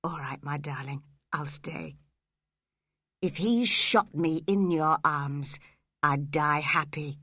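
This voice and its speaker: A woman's voice: lowish voice, slow pace, dry recording, heavily acted. A woman reading a story voices a fierce, tormented young man crying out to his dying love. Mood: whispering. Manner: wild, pleading, then hushed.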